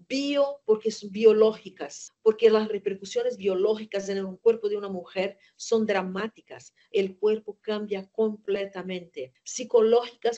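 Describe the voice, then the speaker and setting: A woman's voice: medium pitch, darkish tone, lo-fi sound. A woman from Brazil with a Brazilian Portuguese accent, a gynecologist giving a webinar lecture in an earnest, emphatic way. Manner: earnest, emphatic, asks and answers own questions.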